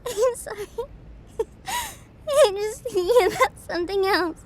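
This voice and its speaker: A woman playing an emotional, self-doubting young best friend in a roleplay audio drama, speaking in a soft, halting, vulnerable way. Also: very high voice. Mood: sheepish, amused. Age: young adult.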